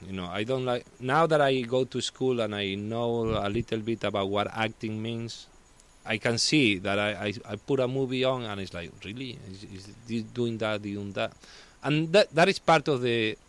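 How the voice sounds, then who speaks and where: A man from Spain with a Spanish accent, talking as a guest in casual chat, halting and restarting as he searches for words. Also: on the low side, smooth.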